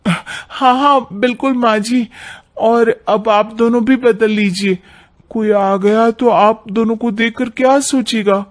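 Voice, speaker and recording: A man's voice: extremely high pitch, steady tone, slow, voice pushed up. A man voicing a young married daughter-in-law in a family cartoon, pleading and exclamatory. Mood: conciliatory.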